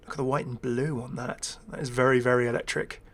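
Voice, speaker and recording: A man's voice: medium pitch, flat delivery, fairly quick. Chatty, enthusiastic man rambling through game commentary. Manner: chatty, enthusiastic, self-deprecating. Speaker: gaming video creator. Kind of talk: casual rambling first-impressions game commentary.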